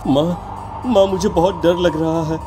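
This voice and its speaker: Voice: mid-range voice, fairly even intonation, a little slow, music in the background, lightly acted. A performer voicing a timid teenage schoolboy in a horror story, fearful and hesitant as he speaks. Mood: scared.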